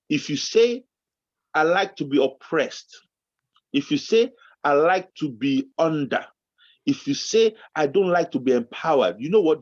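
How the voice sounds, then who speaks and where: Yoruba-speaking Nigerian man, co-host, animated preacher cadence, lo-fi dry sound. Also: on the high side, dry recording. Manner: animated, preacher-like build-ups, bursts into shouting. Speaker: podcast co-host. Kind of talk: motivational talk show chat.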